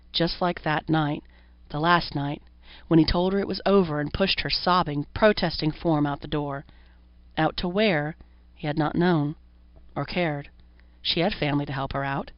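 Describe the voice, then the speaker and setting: A woman reading a horror story as an audiobook narrator, in scripted narration. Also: deep voice. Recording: roomy echo, dull lo-fi sound.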